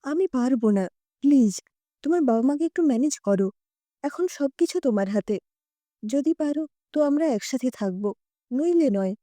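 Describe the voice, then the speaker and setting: A woman's voice: high voice, expressive, moderate pace, long pauses, mellow, dry recording. A woman voicing a despairing, heartbroken young man in an audio story, pleading and anguished. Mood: pleading, helpless.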